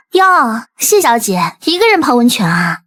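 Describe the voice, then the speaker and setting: A woman voicing a spiteful, scheming young villainess in an animated period drama, taunting and melodramatic. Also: on the high side, slow, heavily acted. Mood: taunting, resentful.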